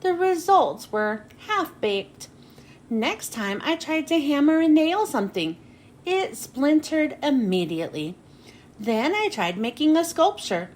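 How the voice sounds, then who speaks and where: A woman narrating a children's storybook expressively, with dramatic pauses and drawn-out phrase endings. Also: sits high, very animated. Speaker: children's book read-aloud host. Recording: noticeable background noise.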